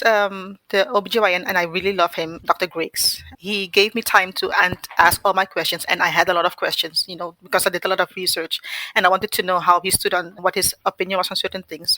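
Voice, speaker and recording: Podcast guest: woman, 40s, from Suriname, Dutch first language, bright and forceful voice, low-set, dry close sound, candid storyteller. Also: brisk, no echo, low-quality recording. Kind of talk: conversational interview.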